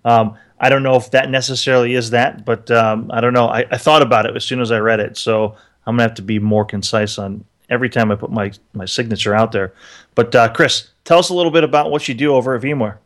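A man's voice: mid-range voice, fairly even intonation, fast talker, a bit bright. A man co-hosting a tech podcast, chatting casually in an upbeat, joking, rambling way. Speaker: podcast co-host.

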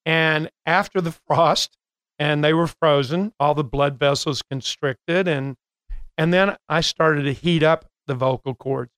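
An older man hosting a coaching podcast, speaking casually with frequent filler pauses. His voice is smooth and clear, set a bit higher than most. The studio sound is dry and close. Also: steady tone, fairly bright tone, dry recording, rough recording. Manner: chatty, many filler pauses. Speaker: host and coach.

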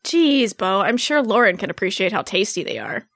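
A woman's voice: mid-range voice, very animated, moderate pace, fairly bright tone, no room sound, pitched below the performer's own voice. A woman voicing a flustered, pleading young female Valkyrie in a theatrical fan fiction reading. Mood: sheepish, babbling. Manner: exclamatory, theatrical.

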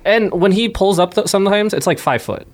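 A man's voice: sits high, animated, fairly quick. A man talking casually as a podcast co-host, explaining things in a conversational way. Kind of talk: casual chat, listing and discussing.

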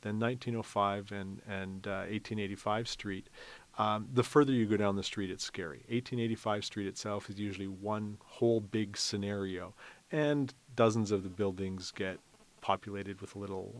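Low-voiced, chatty man. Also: steady tone, moderate pace. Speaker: festival organizer, interview guest. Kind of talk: casual interview chat.

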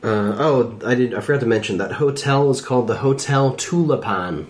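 A man's voice: mid-range voice, moderate pace, slight background noise. A man in his mid thirties making casual remarks as a podcast co-host. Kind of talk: casual chat.

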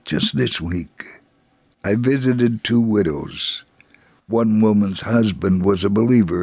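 An actor playing a devout, gentle male Holocaust survivor in a dramatic first-person reading, his voice gravelly and husky. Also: on the low side, dry recording, exaggerated voice.

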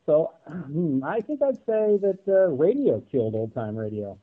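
A man's voice: fairly high pitch, sing-song, unhurried. A man speaking as a podcast guest in a thoughtful, articulate way. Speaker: podcast guest, audio drama producer. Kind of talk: thoughtful roundtable discussion. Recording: thin, phone-like band-limited line.

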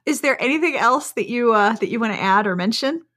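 A woman's voice: fairly high pitch, animated, fairly quick, fairly bright tone. A woman hosting a casual podcast interview, talking in an informal, effusive, slangy and self-deprecating way. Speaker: podcast host interviewing guests.

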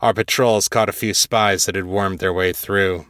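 Man voicing a deep, dutiful fortress captain. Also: fairly even intonation, moderate pace, a bit bright, dry recording, studio quality. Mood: deferential. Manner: formal, deferential soldierly reporting. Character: very tall, dutiful military captain, fantasy garrison.

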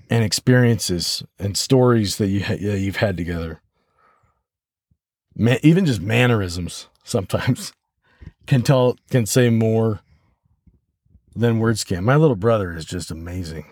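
An American man hosting a podcast solo, talking reflectively and haltingly as he thinks aloud. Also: fairly low pitch, a little mellow, dry recording, studio quality. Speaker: podcast host.

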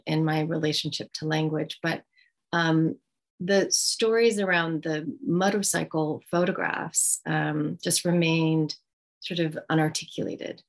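Low, gravelly-voiced woman author, halting. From United States. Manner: thoughtful, halting, many ums. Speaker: author guest. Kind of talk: reflective interview answer.

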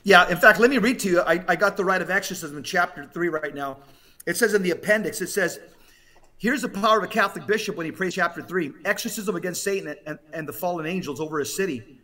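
A man's voice: high voice, fast, sharp tone, recorded from a distance. A man giving impassioned talk commentary in an emphatic, preaching way, driving points home with rhetorical questions.

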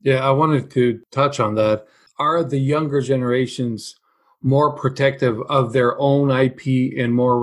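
Man from Portugal asking questions. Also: mid-range voice. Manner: curious, asks follow-up questions. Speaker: interviewer. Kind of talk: interview questions.

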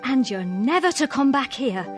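A young woman playing an excited, affectionate younger sister in a family radio drama, breathless and emphatic. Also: high voice, moderate pace, soft background music. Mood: eager.

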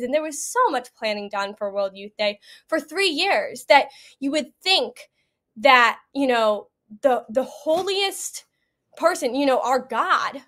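A woman's voice: sits high, fairly bright tone. A young woman giving a conversational eyewitness account as an interview guest, speaking earnestly with frequent "you know" asides. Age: young adult. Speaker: interview guest, World Youth Day attendee.

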